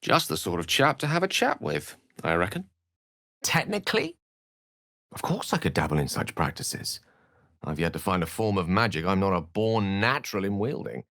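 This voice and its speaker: A man's voice: sits low, big pitch swings, moderate pace, fairly bright tone, no echo, clean studio sound, heavily acted. An actor voicing a learned, witty, self-assured male wizard in a video game, with a wry, theatrical and bookish way of speaking. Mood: wry, teasing. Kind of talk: video game character voice acting.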